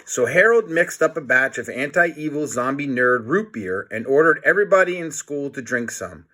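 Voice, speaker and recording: A man's voice: mid-range voice, expressive, normal speed, bright, forceful tone. A man reading a children's book aloud in a playful, dramatic manner, adding his own comments. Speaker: storybook reader.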